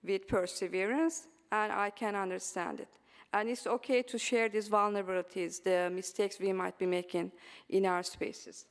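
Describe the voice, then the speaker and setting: A woman's voice: mid-range voice, fairly even intonation, moderate pace, long reverb tail. A woman from Turkey with a Turkish accent, giving an earnest conference talk on teaching, with many ums and uhs. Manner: frequent um and uh fillers, earnest and encouraging. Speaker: presenter. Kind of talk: conference presentation. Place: symposium hall.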